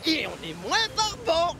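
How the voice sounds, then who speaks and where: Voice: extremely high pitch, very noisy, exaggerated voice. An actor voicing mischievous, boyish twin tank engines in a French cartoon dub, teasing and boastful. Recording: music behind the voice.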